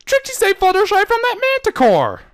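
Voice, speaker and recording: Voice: very high-pitched, huge pitch swings, a little fast, voice pushed up, full character voice. An amateur reader voicing a proud, defensive young showmare who stammers indignantly, in a fan fiction reading. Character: proud, flustered young showmare, defensive, speaks in third person.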